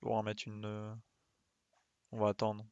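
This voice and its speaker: Guy streaming a game, creaky voice, dry close sound, mumbles little interjections while he figures stuff out. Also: lowish voice, completely flat delivery, normal speed, darkish tone, no echo, dull audio. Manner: mutters short interjections while thinking aloud. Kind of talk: casual live gameplay commentary.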